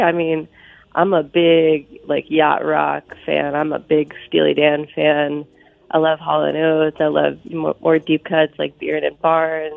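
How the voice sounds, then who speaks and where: A soft-spoken woman with a stuffy cold, a musician talking as a guest on a live radio phone interview and filling her sentences with easy fillers. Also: deep voice, gravelly, dry recording. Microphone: phone line. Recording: tinny phone line with faint music.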